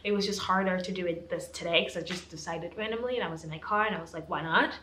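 A young woman in her twenties chatting casually to camera in a vlog, animated and laughing often. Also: fairly low pitch, quick pace, reverberant, a little hiss or hum. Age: 20s. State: hoarse, losing her voice. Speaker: vlogger, law student. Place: apartment bedroom, indoors.

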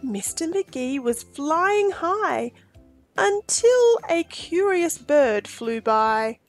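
Female children's storyteller, 40s, high voice, slow expressive read-aloud, faint music behind. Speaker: storytime host and reader.

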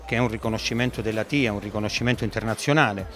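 A man's voice: fairly low pitch, very fast, a bit bright, faint music behind. A middle-aged man, a port director, answering interview questions at a boat show into a handheld mic, hesitating often with fillers and restarts. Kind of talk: trade fair interview.

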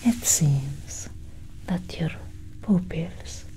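A young woman whispering breathily and deliberately in a soft ASMR roleplay as a calm alien scientist, close to the mic. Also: low-quality recording. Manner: slow, deliberate, hushed. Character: calm, clinical female alien scientist examining a human. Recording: steady low engine-like hum.

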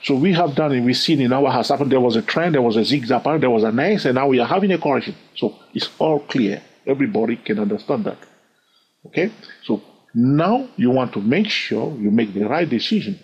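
A man teaching a chart lesson over his screen, animated and full of rhetorical questions, chuckling now and then. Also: medium pitch, fairly quick, husky. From lives in London. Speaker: trading educator. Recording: dull lo-fi sound.

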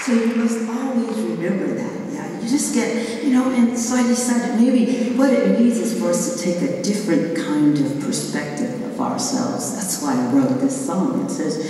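A middle-aged woman with a low voice, chatting with and encouraging a concert audience between songs, heard from the crowd in a large hall. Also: big pitch swings, moderate pace, hardly any pauses, dark tone. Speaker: singer addressing a concert audience. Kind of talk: live stage banter between songs. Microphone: handheld mic, recorded from the audience. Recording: distant echoey concert hall audience recording.

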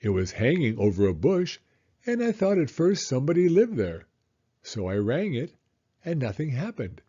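A man's voice: medium pitch, wildly swooping pitch, moderate pace, mellow, husky, muffled recording, pitched above the performer's own voice. A man voicing a pompous, long-winded owl in a children's storybook, formal and emphatic. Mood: chatty, proud. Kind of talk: children's storybook character reading.

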